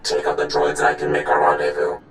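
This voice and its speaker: A man's voice: extremely low pitch, monotone, quick pace, fairly bright tone, roomy sound, a little hiss or hum. An actor playing a suspicious, demanding male informant who speaks in a terse, distrustful way in a video game. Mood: wary, irritated.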